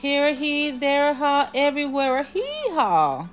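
A woman's voice: high voice, very animated, a little slow, faint music behind, pitched above the performer's own voice. A woman voicing a cheerful storybook donkey in a bouncy, hee-hawing sing-song. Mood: merry singing.

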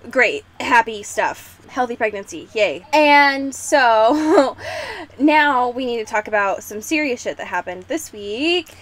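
A woman's voice: fairly high pitch, lively intonation, slow, a bit bright. A young woman hosting a podcast in a casual, sweary way, peppering her talk with "like". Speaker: podcast host.

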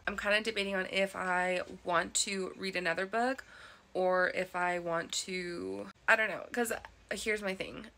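A woman's voice: fairly low pitch, pushed and bright. A young woman talking casually about her reading in a vlog, rambling with many fillers. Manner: chatty, rambling, lots of "like" fillers. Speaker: book vlogger.

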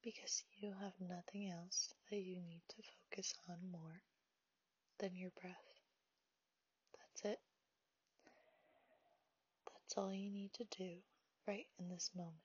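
A woman leading a guided meditation, speaking softly in a half-whisper, slow and soothing, on a dull, dry, lo-fi recording. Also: on the low side, level tone, husky, no echo.

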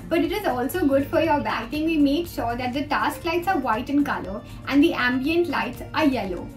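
Young woman, interior designer, upbeat explainer, faint background music. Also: high voice. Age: young adult. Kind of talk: presenting a design tour. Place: green-screen studio, indoors. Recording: distant mic with background music.

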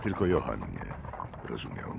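A man's voice: extremely low pitch, sing-song, normal speed, creaky, heavily acted. An actor in an audio drama playing an old, wealthy businessman, genial on the surface but burdened by loss, speaking hesitantly and ponderously. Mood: grave, wistful. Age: older. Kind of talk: radio drama acting. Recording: loud vehicle noise and ambience behind.

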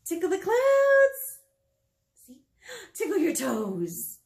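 A woman in her thirties hosting a children's storytime, speaking in an animated, encouraging way and asking young viewers questions. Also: extremely high pitch. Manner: animated, encouraging, asks questions and pauses for answers. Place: small indoor meeting room.

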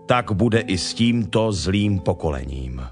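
A male actor plays a solemn, authoritative holy man in a dramatized scripture recording. His fairly low-key, deliberate declamation is stern and grave. Clear music plays behind him. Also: animated, close to an everyday voice. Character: Jesus, solemn, stern, authoritative preaching teacher. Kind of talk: dramatized Bible reading in Czech.

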